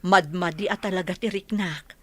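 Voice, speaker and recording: A woman's voice: fairly low pitch, fairly even intonation, moderate pace, fairly bright tone, no echo. A woman playing a lonely, ailing, affectionate mother in an Ilocano radio drama, plaintive, with an Ilocano Filipino accent.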